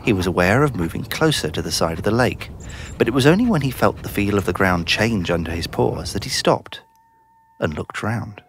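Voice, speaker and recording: Expressive male children's storyteller, lively pitch. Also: normal speed, a little hiss or hum. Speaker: storybook narrator. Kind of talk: scripted children's story narration.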